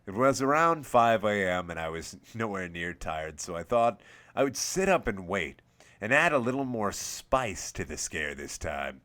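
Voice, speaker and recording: Low-voiced man narrating a scary story. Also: very animated, unhurried, pushed and bright. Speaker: host and reader of a scary story series. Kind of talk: scripted first-person horror story narration.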